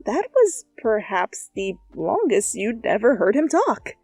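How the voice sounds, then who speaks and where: A woman narrating a second-person fan fiction story in a playful, conversational way, with animated asides. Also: a little high, a little slow, dry recording, soft background music. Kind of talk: expressive second-person story narration, ASMR fanfic reading.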